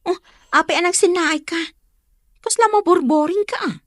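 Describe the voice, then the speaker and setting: A woman's voice: very high-pitched, moderate pace, fairly bright tone, no echo, studio quality. An actress with an Ilocano accent playing a teasing, meddling older woman in a radio drama. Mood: playful teasing.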